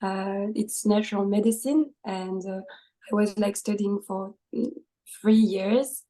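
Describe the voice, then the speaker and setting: Soft-toned young French woman. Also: medium pitch, steady tone, a little slow, poor audio. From France. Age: 20s. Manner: hesitant, many fillers, laughs often. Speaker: podcast guest. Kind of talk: casual interview conversation.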